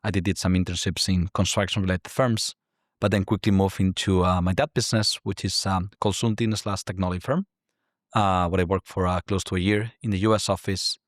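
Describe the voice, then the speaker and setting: A man's voice: sits low, fairly even intonation, brisk, no echo, clean studio sound. Spanish-accented man, filler-heavy explaining. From Spain. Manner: constant filler "like" and tag "right?". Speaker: guest, climate tech investor. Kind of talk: casual interview talk.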